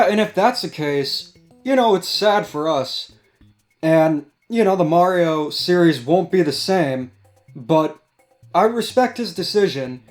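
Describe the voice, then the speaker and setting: A man's voice: on the high side, a little mellow. A man hosting a casual discussion, giving commentary over background music. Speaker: host. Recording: music playing behind the voice.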